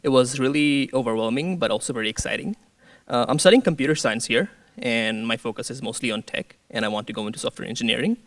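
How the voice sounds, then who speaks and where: Gravelly-voiced young man, from Bangladesh. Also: mid-range voice, quick pace, no echo, far from the mic. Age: young adult. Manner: hesitant, many fillers and restarts. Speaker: student peer career advisor. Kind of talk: panel introduction.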